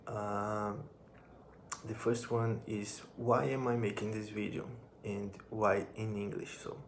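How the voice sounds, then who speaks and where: A young Brazilian man in his twenties talks into his phone camera, pausing and restarting as he reads from a note. His low voice has a gravelly edge and stays flat, and a faint hiss sits under it. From northern Brazil. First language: Portuguese. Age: 20s. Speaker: musician and drum teacher. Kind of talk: personal vlog talking to camera.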